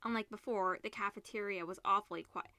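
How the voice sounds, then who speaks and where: A woman reading a visual novel aloud as a let's player, expressive, mixing narration with casual reactions. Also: medium pitch, fairly quick, no echo. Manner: expressive, switches between narration and character lines.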